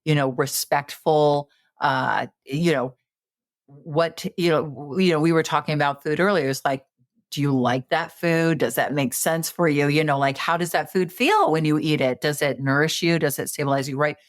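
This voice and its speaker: A woman's voice: sits low, normal speed, no room sound, clean studio sound. A woman in her late fifties, a podcast guest giving coaching advice in a conversational way, full of fillers and restarts. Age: 50s. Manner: animated, many fillers and restarts.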